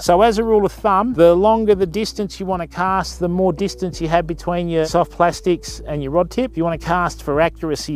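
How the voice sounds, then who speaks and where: A man's voice: high voice, a little hiss or hum. A middle-aged Australian man giving casual live-stream fishing commentary and tips from a kayak on a river, talking to himself and his viewers. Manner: chatty, self-talking, addresses viewers as people. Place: outdoors on a river, kayak and bank. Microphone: action camera mounted on kayak.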